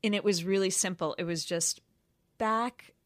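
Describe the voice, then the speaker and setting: A woman's voice: medium pitch, normal speed, no room sound. A woman in casual, friendly chat as a podcast guest, enthusiastic and prone to giggles.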